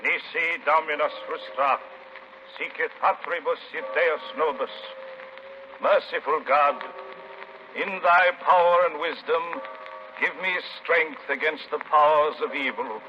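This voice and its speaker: A man's voice: fairly high pitch, measured pace, close to an everyday voice. Male actor: declamatory man of God, protector against evil, 1930s radio drama, dull and hissy recording. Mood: fervent, pleading. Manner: declamatory, solemn, archaic diction. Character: devout priest defiantly guarding his flock against evil.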